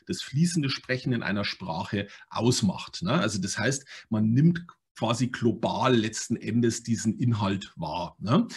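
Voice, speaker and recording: Husky middle-aged guy on a webcam call, lecturing, lots of "ne?" and ums, mid pitch. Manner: animated, explanatory, many fillers and tag questions. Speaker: language learning speaker. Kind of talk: online conference talk with slides. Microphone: webcam on video call.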